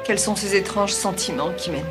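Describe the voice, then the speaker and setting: A woman's voice: mid-range voice, fast talker, over music, lightly acted. A woman playing a proud young noblewoman officer raised as a man, in formal, earnest cartoon dubbing. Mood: lovelorn, wondering. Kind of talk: French-dubbed cartoon acting, formal period diction.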